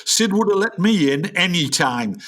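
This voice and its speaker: A man's voice: sits high, lively intonation, normal speed, fairly bright tone. Guy doing grumpy aging jazz dad, northern english accent, indignant and moaning, voice goes up and down loads, radio comedy. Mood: grumbling. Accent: North of England. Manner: griping, melodramatic, indignant. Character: aging grumpy washed-up jazz musician father, Willie. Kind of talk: comic radio play acting.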